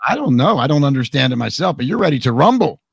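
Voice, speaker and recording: A man hosting a live radio talk program, his voice worn hoarse, chatting casually and jokingly with many restarts. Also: mid-range voice, very animated, fast, no room sound.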